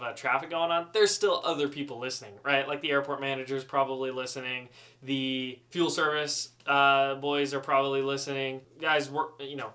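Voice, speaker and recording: Male, slightly higher pitch, very bright tone, animated, chatty, casual humorous storytelling, self-deprecating. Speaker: student pilot storyteller.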